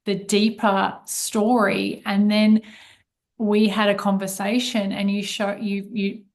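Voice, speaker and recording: Excited woman hosting a live interview. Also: mid-range voice, takes it slowly. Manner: enthusiastic, warm welcoming patter with fillers. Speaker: host, money coach.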